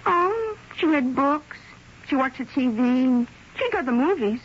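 A woman's voice: high-pitched, expressive, unhurried, a little hiss or hum. An actress playing a chatty, opinionated, gossipy woman who speaks colloquially in a radio drama. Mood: frank, gossipy. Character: gossipy, frank working-class woman, impatient with questions. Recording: muffled old radio broadcast.

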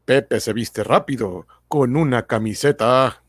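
Radio narrator, male actor: measured storyteller, unhurried, announcer-like, medium pitch. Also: close to an everyday voice.

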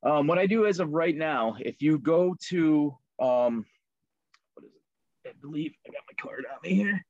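A man's voice: on the high side, lively intonation, moderate pace, darkish tone, no room sound. Easygoing man chatting, slightly dull sound. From Liverpool, New York. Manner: easygoing, many ums and fillers. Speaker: guest, maker of fishing lures. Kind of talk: casual interview chat.

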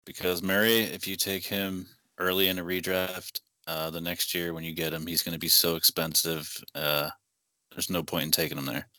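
A man's voice: low voice, level tone, normal speed, bright, forceful tone, no echo. A man co-hosting a podcast, talking in a laid-back, drawling way. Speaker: podcast co-host. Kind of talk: casual chat.

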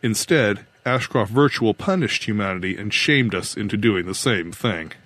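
A man voicing a calm, probing middle-aged male investigator in audiobook dialogue. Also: on the low side, moderate pace, dry recording. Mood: accusing.

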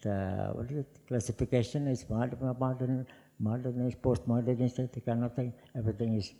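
An older man, a poet answering questions on stage in halting, restarting speech. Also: medium pitch, fairly even intonation, vocal fry, warm tone. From Java, Indonesia. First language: Javanese. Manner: halting, searching for words, many restarts. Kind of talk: unscripted panel answers. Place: festival hall stage. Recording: long hall reverb.

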